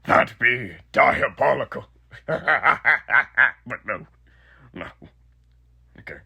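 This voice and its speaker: A man voicing a sinister older killer inside a haunted animatronic, rambling and theatrical with a raspy, gravelly voice, in a roleplay monologue to the listener. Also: mid-range voice, animated, very slow, sharp tone, voice pushed down, full character voice. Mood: wry storytelling. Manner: rambling, theatrical, mock-friendly.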